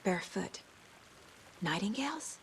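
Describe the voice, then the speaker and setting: A woman playing a composed, confident female detective in a video game, speaking in measured, clipped, matter-of-fact statements. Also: mid-range voice, very animated. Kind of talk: video game acting, investigative monologue and dialogue. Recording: clear background noise, game ambience.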